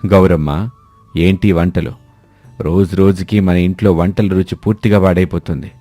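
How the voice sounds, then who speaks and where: Man doing greedy grumbly rich village chief in a story, very low dark voice. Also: fairly even intonation, normal speed, no echo, soft background music. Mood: irritated. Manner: grumbling, insistent. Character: fussy, gluttonous rich village headman.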